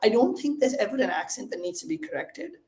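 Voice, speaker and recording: A man with an Indian accent, a startup CEO talking as a guest in a conversational interview, energetic and quick, sometimes repeating words as he starts. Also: high voice. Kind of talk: casual conversational explaining. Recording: roomy echo on voice.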